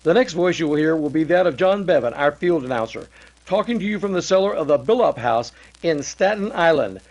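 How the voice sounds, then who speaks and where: A solemn 1930s radio announcer, played by a man, with a fairly high voice. He speaks formally and deliberately in the manner of vintage broadcast drama. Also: animated, darkish tone, low-quality recording.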